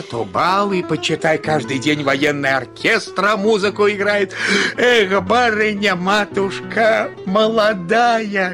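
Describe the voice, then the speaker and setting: An elderly household servant in a radio drama. His voice sits high for a man, and he talks in a folksy, grumbling, coaxing way, like a devoted retainer scolding his mistress. Music plays clearly behind him. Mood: wistful coaxing. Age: older. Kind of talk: Russian radio play acting. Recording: studio radio play with background music.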